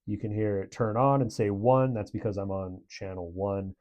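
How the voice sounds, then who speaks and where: A man's voice: on the low side, moderate pace, a little mellow, muffled recording. A man giving a casual product review in a conversational, approving way.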